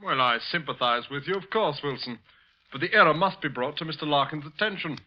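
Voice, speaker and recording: An actor playing a patronizing, coolly mocking office superior in 1940s radio style. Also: male voice, a little high, a little fast, close to an everyday voice. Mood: smug, patronizing. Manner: cool, mocking, condescending. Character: smug patronizing office superior, clever and ambitious man. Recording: muffled vintage 1940s radio recording.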